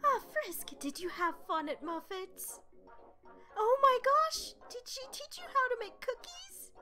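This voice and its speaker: Lady doing a doting goat monster mom, high n sweet, gushy, swoopy pitch. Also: big pitch swings, a little slow, sharp tone, soft background music, heavily acted. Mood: delighted. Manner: sweet, gushing. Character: doting, motherly adult female goat monster. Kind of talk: comic dub voice acting.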